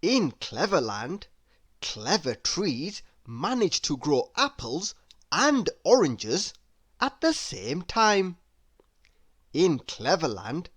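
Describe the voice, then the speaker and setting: A woman reading a children's story aloud as an expressive narrator who speaks directly to young listeners. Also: lowish voice. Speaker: storybook reader.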